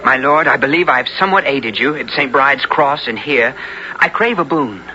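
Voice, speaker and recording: An actor playing a brave, loyal young squire who both narrates and speaks in a radio drama, in earnest, formal, archaic language. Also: male voice, medium pitch, animated, normal speed, sharp tone, a little hiss or hum. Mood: downcast, determined. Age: young adult. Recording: muffled old radio broadcast with music.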